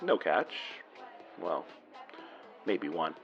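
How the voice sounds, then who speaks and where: Low-voiced, flirty cop. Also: male voice, sing-song, drawn-out words, highs cut off, faint music behind, full character voice. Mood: flirty, teasing. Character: flirty, easygoing male police officer. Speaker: actor in an audio drama. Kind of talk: naturalistic horror fiction podcast acting.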